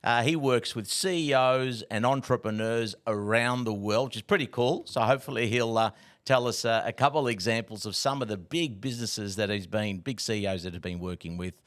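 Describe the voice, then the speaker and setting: A man's voice: on the low side, fairly quick, fairly bright tone. A man hosting a podcast in a chatty, upbeat way, often repeating his words. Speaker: podcast host. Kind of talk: casual podcast chat.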